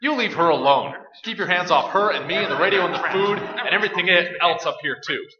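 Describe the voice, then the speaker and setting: A man's voice: high voice, expressive, brisk, a crowd in the background. A male actor in a staged radio play, playing a tough, defiant survivor who is blunt and commanding. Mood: urgent, angry. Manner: forceful, challenging, blunt. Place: live stage performance. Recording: echoey, dull live recording, audience noise.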